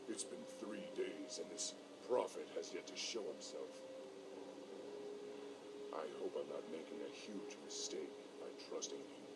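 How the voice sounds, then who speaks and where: An actor playing a stern young orc war chief with a gravelly voice and a commanding way of talking. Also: male voice, a bass voice, a little slow, recorded from a distance. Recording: loud hiss on captured game audio.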